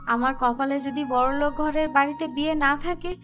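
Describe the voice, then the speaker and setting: Woman putting on a very high, girlish young bride-to-be. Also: fairly even intonation, moderate pace, highs cut off, music in the background, close to an everyday voice. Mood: worried, pleading. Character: excitable young adult daughter, a bride-to-be. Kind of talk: scripted cartoon dialogue.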